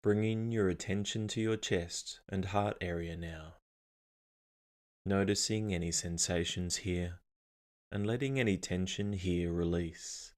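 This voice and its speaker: A man narrating a guided meditation as an instructor, calm, soothing and unhurried. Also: low voice.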